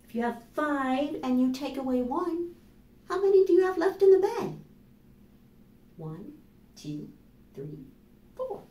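An American woman in her fifties reading and explaining to young children as a storytime host, with deliberate, animated delivery. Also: high voice. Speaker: children's librarian hosting storytime. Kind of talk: children's storytime narration and instruction. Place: small plain indoor room. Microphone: camera microphone a few steps away. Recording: roomy echo, voice far from mic.

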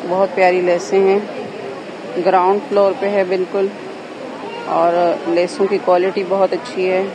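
A woman's voice: lowish voice, little pitch movement, normal speed, noisy background. A woman with a Pakistani accent giving a casual market tour and asking vendors for prices, as a shopping vlogger. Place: busy indoor shopping bazaar. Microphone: handheld phone, behind the camera. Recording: loud bustling market crowd behind. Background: loud shoppers and vendors.

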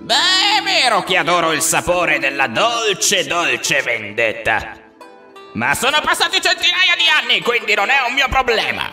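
A cantankerous old cartoon ninja who loves his drink. He blusters grumpily in a high, very sharp voice that swoops wildly, with music behind. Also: wildly swooping pitch, normal speed, heavily acted. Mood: grudging. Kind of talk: Italian cartoon fan dub.

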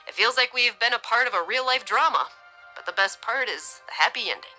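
A woman's voice: on the high side, animated, brisk, sharp tone, faint music behind, pitched above the performer's own voice. A woman voicing a normally reserved audiobook character who is openly relieved and heartfelt.

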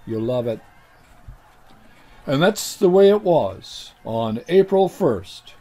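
An older man delivering a deadpan mock news report as a comedy anchor, in a dry, unhurried newsreader manner. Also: on the high side. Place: studio set with green-screen backdrop.

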